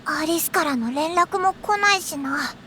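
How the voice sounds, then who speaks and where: Small eager game sidekick: childlike, extremely high, bright, casual and chatty. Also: female voice, fairly quick, a little hiss or hum. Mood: puzzled, concerned. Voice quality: squeaky, childlike. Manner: animated, rough casual speech. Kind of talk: anime game voice acting in Japanese.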